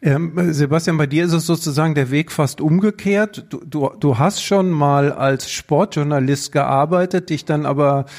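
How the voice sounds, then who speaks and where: A man's voice: a little high, moderate pace, few pauses, a little mellow. A man hosting a live stage talk, asking questions with plenty of hesitant fillers. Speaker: host. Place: theater stage.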